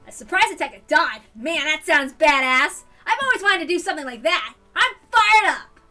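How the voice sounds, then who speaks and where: An eager young club leader acted by a woman rallies the troops in a story reading. The voice is high, forceful and bold, with music underneath. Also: expressive, normal speed. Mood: excited.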